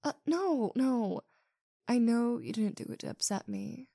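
Woman acting as slow-talking, creaky-voiced affectionate girlfriend. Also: fairly high pitch, huge pitch swings, dark tone, no echo, clean studio sound, full character voice. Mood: sheepish, affectionate. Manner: breathy, intimate, drawn-out delivery with sighs. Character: possessive, affectionate girlfriend lover, adult woman. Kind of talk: intimate roleplay audio acting.

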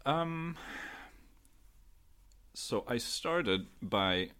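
A man in his thirties from Norway with a light Norwegian accent, chatting as an interview guest in a soft, hesitant way with many fillers. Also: mid-range voice, expressive, very slow, smooth. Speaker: guest, audio creator. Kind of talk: casual interview chat.

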